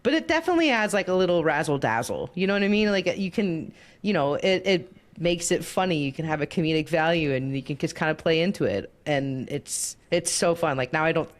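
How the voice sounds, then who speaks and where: Shy giggly woman, kinda low voice but super bouncy, gaming podcast chat. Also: animated, a little fast, hardly any pauses. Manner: giggly, self-deprecating and shy. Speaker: podcast co-host and player. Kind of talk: casual tabletop gaming discussion.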